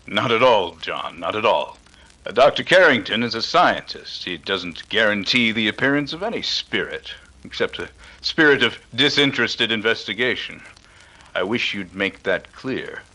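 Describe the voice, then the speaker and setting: A man playing a calm, dryly witty detective with an air of authority in a 1930s radio drama. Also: fairly low pitch, moderate pace, poor audio. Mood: firm.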